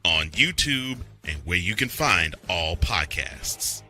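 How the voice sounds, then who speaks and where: A man's voice: deep voice, sing-song, takes it slowly. A man reading a scripted podcast promo as a voice-over announcer.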